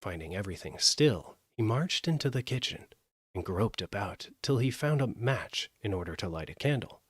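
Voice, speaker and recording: A man narrating a fairytale as a live audiobook, with an expressive, measured delivery. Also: fairly low pitch, slightly rough, dry recording, clean studio sound. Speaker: storyteller.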